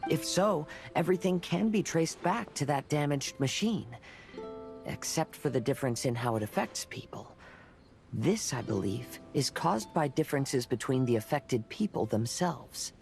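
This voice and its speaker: A man playing a calm, cautious young swordsman in a video game, speaking in a measured, reflective way. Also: medium pitch, gravelly, over music. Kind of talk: video game cutscene acting.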